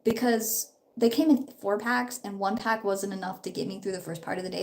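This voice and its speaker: A woman's voice: a little high, a little mellow. A young woman in her twenties, a bit sick, chatting upbeat and rambling during a casual live stream at home while doing her makeup. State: slightly sick. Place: home, her parents' house.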